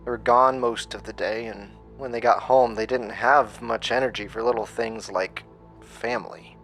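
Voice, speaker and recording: A man's voice: mid-range voice, steady tone, fairly bright tone, soft background music, lightly acted. A man playing a vulnerable young captive in a horror audio drama, speaking hesitantly. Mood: wistful, subdued. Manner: hesitant, halting, subdued. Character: neglected, frightened young man held captive, groggy.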